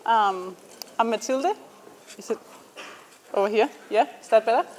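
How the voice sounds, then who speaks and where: A young woman with a Danish accent giving a casual startup pitch to a class, with frequent ums and restarts. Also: unhurried, darkish tone, slight background noise. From Denmark. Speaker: startup founder presenting. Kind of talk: student pitch presentation.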